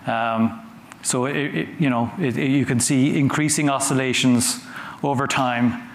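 A man giving a conference talk from a stage, conversational and halting, often repeating words as he goes. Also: medium pitch, fairly even intonation. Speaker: conference speaker. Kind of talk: conference talk with audience questions. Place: large conference hall on a stage. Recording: roomy hall sound with faint noise.

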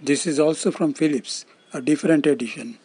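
An older man, around his seventies, with an Indian accent, giving scripted explanatory narration in formal, measured phrasing. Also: a little high. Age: older, around 70s. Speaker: presenter of loudspeaker-making videos.

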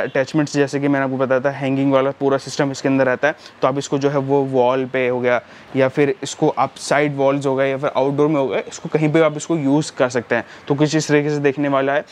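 A man giving a casual walkthrough of speakers in a large indoor showroom, filming on a handheld phone and talking in a chatty, repetitive way. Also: medium pitch. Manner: chatty, repeats "dosto" and "yahan pe". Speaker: shop presenter reviewing speakers.

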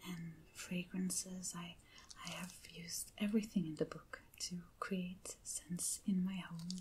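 Slow, breathy half-whispering woman, non-native English. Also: fairly low pitch, a little hiss or hum. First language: not English. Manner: gentle, hushed, unhurried. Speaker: ASMR video creator. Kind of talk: soft-spoken ASMR unboxing.

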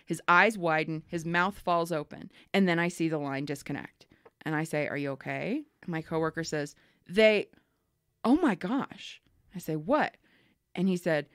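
A woman co-hosting a casual podcast, telling work stories with lively acted-out quotes and frank swearing. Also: on the low side, moderate pace, no echo, studio quality. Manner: animated storyteller, acts out callers and swears freely. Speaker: podcast co-host. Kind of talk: casual storytelling chat.

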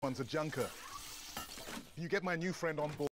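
A practical pilot mechanic, played by a man, talks in a matter-of-fact, fairly high voice. Faint noise runs under the game audio. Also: normal speed, close to an everyday voice.

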